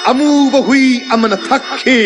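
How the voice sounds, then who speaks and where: A vengeful god of myth, declaims curses in a very high, booming voice. The delivery is theatrical and stretched out, as in an audio drama, with clear music behind it. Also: male voice, fairly even intonation, racing. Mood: wrathful, menacing. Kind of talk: Manipuri audio mythological drama acting. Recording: studio radio drama with loud music.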